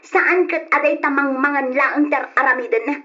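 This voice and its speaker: An exasperated mother in an old radio drama. Her voice is high and her manner harsh and scolding. The sound is dull and lo-fi. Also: normal speed, sharp tone. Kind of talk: old-style Ilocano radio drama acting.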